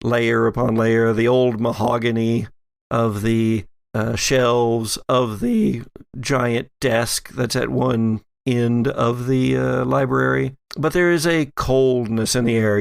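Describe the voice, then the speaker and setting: A man narrating a horror-and-humor tabletop game as game master, in measured, suspenseful storytelling with pauses. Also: mid-range voice, vocal fry, dry recording, clean studio sound. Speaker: game master of an actual-play podcast.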